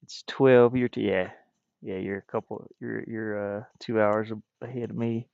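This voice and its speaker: A man chatting casually on a livestream as a game developer, speaking in a halting way and often trailing off. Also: fairly even intonation, vocal fry, a little mellow, clean tone, no room sound. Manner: hesitant, halting, frequently trailing off. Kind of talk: casual stream chat. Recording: muffled, dull low-fidelity stream audio.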